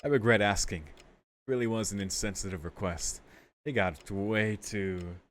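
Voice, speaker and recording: Low-voiced man reacting to a game. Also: moderate pace, slight background noise. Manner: emotive, reactive, laughing asides. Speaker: let's play streamer. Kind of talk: casual game commentary and reading aloud.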